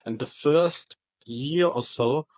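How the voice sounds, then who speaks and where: Man, halting speech. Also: fairly high pitch, a little mellow, no room sound. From Germany. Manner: halting, many restarts and repeated words. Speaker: roofing company manager. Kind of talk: casual interview answers.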